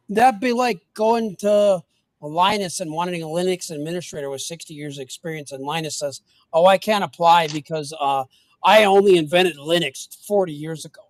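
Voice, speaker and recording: A man's voice: high voice, no echo. A man co-hosting a podcast in casual chat, talkative with sarcastic asides. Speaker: podcast co-host.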